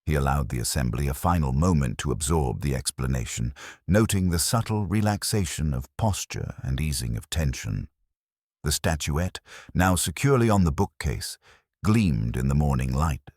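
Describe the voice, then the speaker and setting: Very deep-voiced man narrating a story. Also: a little mellow, no echo, studio quality. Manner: measured, formal storytelling. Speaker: audiobook narrator. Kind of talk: scripted mystery story narration.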